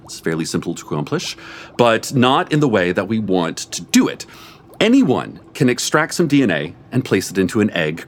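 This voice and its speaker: A man reading a comic story aloud before a live audience, with expressive, animated storytelling and a deadpan edge. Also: on the low side, slight background noise. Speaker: story reader.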